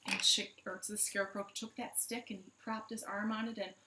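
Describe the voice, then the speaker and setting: A woman's voice: mid-range voice, little pitch movement, normal speed, noisy background. A woman hosting storytime for young children, speaking expressively in simple phrases and questions. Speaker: children's library storytime host. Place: living room at home. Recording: roomy, echoey home recording.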